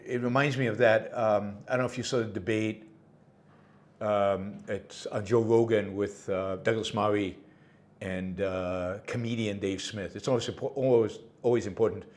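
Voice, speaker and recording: A middle-aged man hosting his own show, giving unscripted solo commentary in an emphatic way, repeating words for stress with many fillers and restarts. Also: on the low side. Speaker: podcast host.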